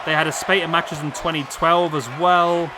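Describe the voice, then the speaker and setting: A man commentating on a wrestling video game, losing his voice, chatty and excitable as he calls the action. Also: on the high side, normal speed. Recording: loud game crowd noise behind. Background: video game crowd and arena sound.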